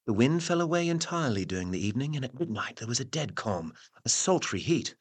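An actor playing a formal Victorian newspaper correspondent, narrating a report in a measured way for an audio drama. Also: male voice, medium pitch, big pitch swings, breathy edge. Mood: grave, formal. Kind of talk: scripted period news narration.

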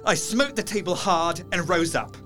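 Animated, troubled Victorian gentleman doctor. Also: sits high, moderate pace, over loud music. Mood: angry. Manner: formal, expressive. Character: troubled Victorian gentleman doctor recounting horrors, proper, emotional. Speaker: narrator in character. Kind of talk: dramatic first-person audio drama narration.

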